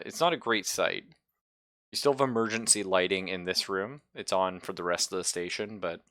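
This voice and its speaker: Male game master, very low register, dry close sound, improvised descriptive narration with hesitant, informal phrasing. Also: gravelly, sharp tone, dry recording. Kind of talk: casual narration of a tabletop roleplaying game.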